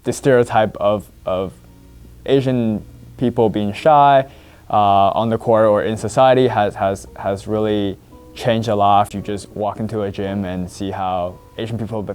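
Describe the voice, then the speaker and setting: A man's voice: on the low side, darkish tone. A young man speaking hesitantly, repeating words, in a documentary interview.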